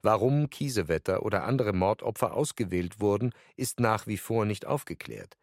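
A sober man's voice, rather low and dryly recorded. He delivers court protocol in a formal, factual way for a documentary radio drama. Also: very animated, moderate pace, dry recording, studio quality. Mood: formal, insistent. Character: sober male courtroom witness and lawyer reading testimony.

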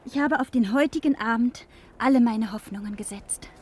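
A woman's voice: fairly high pitch, normal speed, a little mellow, breathy edge. An actress playing an elegant, anxious but resolute married lady in a German television drama. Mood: despairing.

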